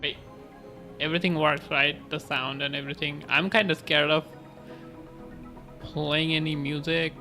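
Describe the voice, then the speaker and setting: A man's voice: a little high, flat delivery, normal speed, long pauses, sharp tone, faint music behind. A man doing casual live game commentary as a streamer, musing informally and reacting to the story.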